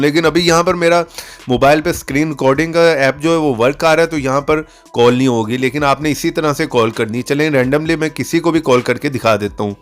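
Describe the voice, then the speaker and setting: A man giving a casual step-by-step app tutorial voice-over, often checking in as he instructs. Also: fairly high pitch. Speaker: tutorial channel host. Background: background music.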